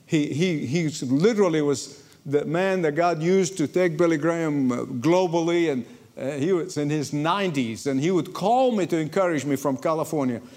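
A man from Egypt, preaching a sermon to a congregation in lively storytelling, often repeating words as he starts a sentence. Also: a little high, moderate pace. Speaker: pastor. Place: church before a congregation.

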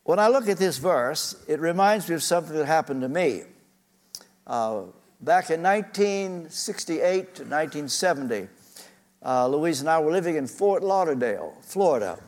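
Older male pastor, slightly high voice, earnest storytelling sermon, long church reverb. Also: animated, moderate pace. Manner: earnest, personal, shifting pace with frequent uh fillers.

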